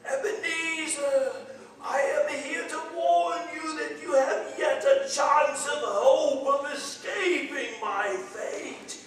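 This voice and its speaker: A doom-laden ghost of a dead businessman declaims slowly and solemnly in a radio drama. The voice is very high and full of remorse, heard from a distance in a heavily echoing theater. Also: fairly bright tone, slight background noise, full character voice. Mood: grave warning. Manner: solemn, declamatory, drawn-out delivery. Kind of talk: theatrical radio play reading. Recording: distant echoey theater stage recording with audience.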